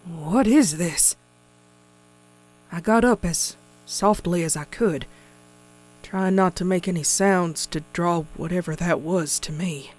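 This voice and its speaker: A woman voicing a young country pony, frightened but stubborn, narrating a horror story in a hushed, folksy, suspenseful way. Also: fairly low pitch, takes it slowly. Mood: anxious, bewildered. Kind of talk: dramatic first-person horror narration.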